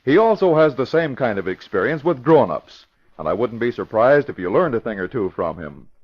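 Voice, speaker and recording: A male announcer from 1940s radio delivers scripted narration with theatrical emphasis. His mid-pitched voice swings widely up and down. The sound is dull, like an old broadcast recording. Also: big pitch swings, fairly quick.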